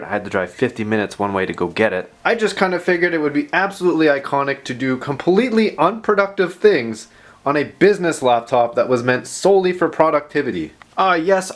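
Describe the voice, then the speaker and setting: A man hosting a tech video in a casual, chatty way, with rambling, self-deprecating asides. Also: mid-range voice. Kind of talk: casual vlog narration.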